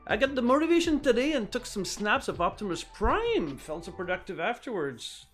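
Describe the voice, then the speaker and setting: A man's voice: high-pitched, very animated, moderate pace, fairly bright tone, soft background music. A man whose first language is French, chatting casually and at length on his live stream while he builds, with many fillers and restarts.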